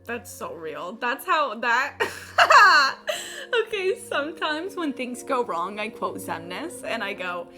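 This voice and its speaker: A woman's voice: fairly high pitch, very animated, takes it slowly, bright, forceful tone. A young woman chatting casually on a gaming livestream, informal, rambling and sweary. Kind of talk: casual gaming stream chat. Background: game music.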